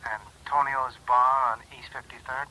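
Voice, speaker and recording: A man's voice: medium pitch, flat delivery, unhurried, pushed and bright, rough recording. An actor playing a sly, cagey male informant on the telephone in a 1950s radio drama, guarded and insinuating.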